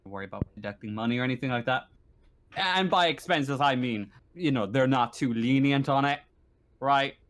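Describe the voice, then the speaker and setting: A man running a tabletop roleplaying game as game master, narrating haltingly as he searches for his words. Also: mid-range voice, a bit bright.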